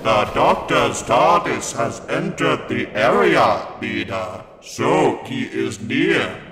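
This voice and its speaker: A man's voice: lowish voice, animated, slow, gravelly, background noise, full character voice. A man playing a cold, commanding, menacing Cyberman robot in an amateur audio drama. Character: menacing Cyberman robot soldiers and their leader. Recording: echoey amateur audio drama, loud music behind.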